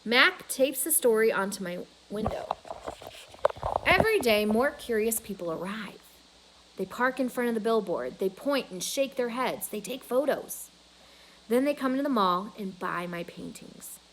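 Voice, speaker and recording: A woman's voice: fairly high pitch, animated, normal speed, a bit bright, low-quality recording. A woman reading a children's novel aloud as a storyteller.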